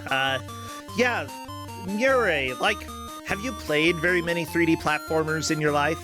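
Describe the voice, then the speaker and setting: A man's voice: on the high side, unhurried, music in the background. A man hosting a game tournament stream, chatting casually with a player and laughing often.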